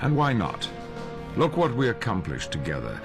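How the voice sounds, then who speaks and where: A man's voice: medium pitch, huge pitch swings, moderate pace, music in the background. A man playing an idealistic, dignified mentor in a video game cutscene, earnest and stately. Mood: hopeful.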